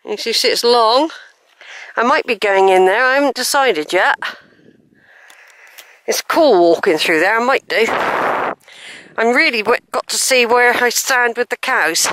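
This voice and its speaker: An older woman talks to her camera as she walks across open fields. Her voice sits a little high, and she rambles along with friendly asides, often correcting herself mid-sentence. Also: measured pace, long pauses, a bit bright, dry recording. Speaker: walking vlogger. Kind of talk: chatty walking commentary to camera. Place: open hilly fields outdoors. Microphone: handheld camera mic while walking.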